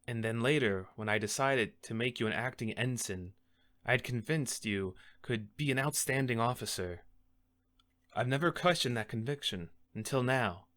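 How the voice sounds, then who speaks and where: A man's voice: mid-range voice, fairly bright tone, pitched below the performer's own voice, lightly acted. Man acting a grave, stern starship captain. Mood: quiet disappointment. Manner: measured, authoritative, grave. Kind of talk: dramatic science-fiction monologue.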